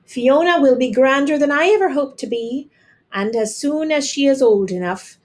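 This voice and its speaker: A woman's voice: high voice, moderate pace, a little mellow, pitched above the performer's own voice, natural voice. Woman playing a loving, careworn mother. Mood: tender pride. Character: Irish mother, loving, proud, careworn lace maker.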